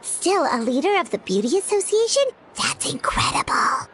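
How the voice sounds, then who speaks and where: A woman voicing a small, childlike, excitable fairy companion in a video game, squeaky and given to exclamations. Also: normal speed, a little hiss or hum. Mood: curious, impressed. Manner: refers to self in third person, exclamatory. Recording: music behind the voice.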